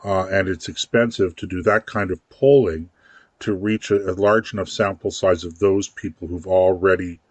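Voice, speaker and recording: A man's voice: low-pitched, steady tone, moderate pace, dry recording, muffled recording. A man co-hosting a political talk radio show, chatting casually and often repeating words as he works out his thoughts. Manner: rambling, repeats words while thinking.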